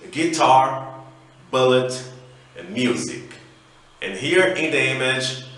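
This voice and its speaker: A man with a Brazilian Portuguese accent teaching a recorded online English lesson, slowly and carefully sounding out letters one by one. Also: medium pitch, a bit bright. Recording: echoey room, voice away from mic.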